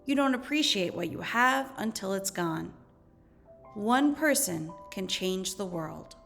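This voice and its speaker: A woman teacher reading educational material aloud in a measured, instructional way. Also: medium pitch, expressive, vocal fry, fairly bright tone, faint music behind. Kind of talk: educational read-aloud narration.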